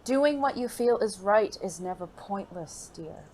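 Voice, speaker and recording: A performer playing a gentle, refined gentleman companion in an audio drama, speaking formally, earnestly and in a measured way. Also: medium pitch, lively intonation, close to an everyday voice. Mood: tender, earnest. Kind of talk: intimate audio drama dialogue. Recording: faint noisy background ambience.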